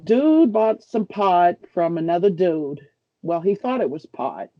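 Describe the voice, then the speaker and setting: A woman's voice: on the low side, sing-song, a little slow, no room sound, highs cut off. A woman chatting informally and bluntly, telling an anecdote. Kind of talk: casual chat, anecdote.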